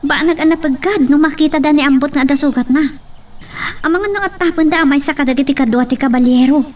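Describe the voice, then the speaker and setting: Woman acting an anxious determined daughter, distant, high-voiced. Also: fast, darkish tone, lightly acted. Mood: worried, urgent. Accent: Filipino (Ilocano). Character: anxious but determined young daughter, protective of her parents. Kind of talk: Ilocano radio-style drama acting.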